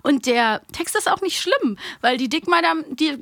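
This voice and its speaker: Female podcast co-host, animated and playful, colloquial chat in a higher register with very dry sound. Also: normal speed, gravelly, dry recording. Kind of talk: casual chat about children's audio plays.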